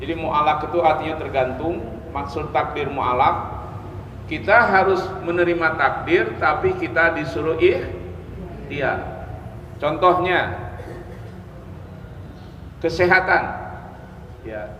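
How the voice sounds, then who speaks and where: A man's voice: fairly high pitch, slow, long pauses, a bit bright, lo-fi sound. A man giving a religious lecture as an Islamic teacher, explaining step by step, repeating key phrases and checking in with listeners. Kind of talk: sermon, religious lecture to a study group. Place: mosque hall, live religious gathering. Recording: echoey hall with long reverb, background noise.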